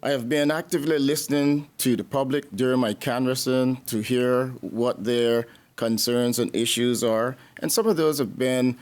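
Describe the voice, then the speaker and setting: A man giving a measured, formal campaign speech and listing his points slowly. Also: mid-range voice, fairly even intonation, darkish tone. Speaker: election candidate.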